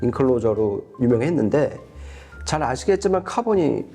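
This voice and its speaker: A man with a mellow, relaxed voice talks through a product review. He explains things step by step and often rephrases himself. Faint music plays behind him. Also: mid-range voice, moderate pace, slightly rough. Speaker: audio reviewer and co-host.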